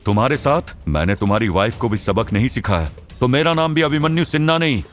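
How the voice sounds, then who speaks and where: A man's voice: mid-range voice, lively intonation, brisk, soft background music, close to an everyday voice. A man playing an arrogant, threatening older rich father in an audio drama. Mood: menacing. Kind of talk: Hindi audio drama acting.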